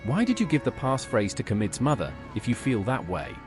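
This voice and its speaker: A man's voice: mid-range voice, huge pitch swings, fairly quick. A man voicing an earnest, inquisitive adventurer in a fantasy video game, polite and questioning. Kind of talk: AI-voiced fantasy game dialogue. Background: game music.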